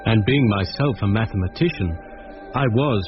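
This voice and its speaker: An actor playing a thoughtful schoolmaster who narrates a radio drama in a measured, literary, British voice. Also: male voice, fairly low pitch, lightly acted. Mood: reflective, ominous. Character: thoughtful mathematics schoolmaster recalling uncanny events. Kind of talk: scripted first-person radio drama narration. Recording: muffled radio drama, loud music behind.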